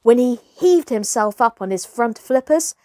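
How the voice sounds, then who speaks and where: A woman reading a children's story aloud in an expressive, theatrical way, with deliberate phrasing. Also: a little high, no room sound. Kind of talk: expressive scripted children's audiobook narration.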